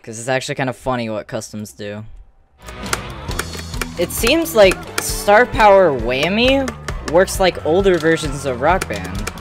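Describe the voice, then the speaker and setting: A man's voice: on the high side, big pitch swings, a little slow. A man giving casual, offhand gameplay commentary in short fragments as a streamer. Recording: game music behind the voice.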